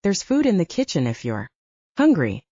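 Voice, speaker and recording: Narrator as a mellow, practical woman animal keeper. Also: fairly low pitch, huge pitch swings, normal speed, slightly rough, no echo, heavily acted. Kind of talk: audiobook character dialogue. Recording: dull, lo-fi, narrow sound.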